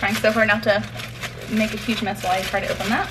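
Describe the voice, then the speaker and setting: A woman vlogging casually while sick, her voice raspy and hoarse, tired and short of breath, with small laughs between sentences. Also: medium pitch, steady tone, quick pace, noisy background. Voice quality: raspy, weak voice. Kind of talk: casual vlog chat.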